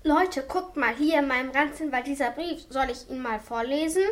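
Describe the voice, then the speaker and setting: A woman's voice: sits high, normal speed, lightly acted. A young female performer playing an eager, practical girl detective in an amateur radio play. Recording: noisy amateur recording with clicks.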